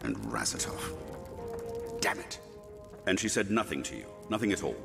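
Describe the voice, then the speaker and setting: A man playing a stern, shrewd official in a fantasy video game, speaking in a brooding, deliberate way. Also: low-pitched, very animated, creaky, exaggerated voice. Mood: pressing. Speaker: voice actor. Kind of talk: dramatic video game voice acting. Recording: music behind the voice.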